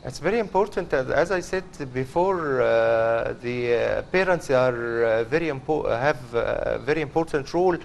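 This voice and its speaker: A man, a studio guest who talks with many pauses and fillers. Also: mid-range voice, lively intonation, gravelly, slight background noise.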